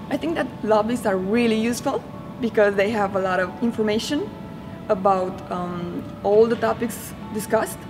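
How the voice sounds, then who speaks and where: A woman's voice: medium pitch, moderate pace, poor audio. A young woman from Romania, a student, answering interview questions hesitantly with many fillers. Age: young adult. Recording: music playing underneath.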